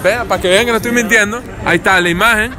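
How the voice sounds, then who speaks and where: A man's voice: high voice, very fast, music in the background. A young man with a Venezuelan accent, hosting a casual street interview in a teasing, playful way. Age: young adult. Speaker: vlog host. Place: busy city plaza, outdoors. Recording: noisy busy street, crowd behind.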